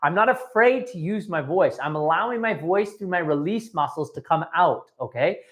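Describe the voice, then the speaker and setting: A man's voice: on the high side, expressive, moderate pace, fairly bright tone. A man giving a live training talk as a dating coach, emphatic and repetitive, often checking in with his listeners.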